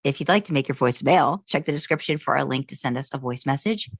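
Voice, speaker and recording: A woman's voice: rumbling low, animated, fast talker, husky, no echo, studio quality. A woman co-hosting a podcast, talking casually and feeling her way through her thoughts as she goes. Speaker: podcast co-host. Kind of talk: casual fan discussion chat.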